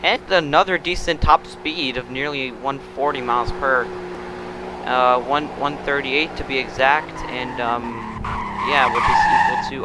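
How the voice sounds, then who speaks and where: A man's voice: mid-range voice, pushed and bright, a little hiss or hum, poor audio. A young man hosting a casual car review of a game vehicle, rambling with many restarts and fillers. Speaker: car review channel host.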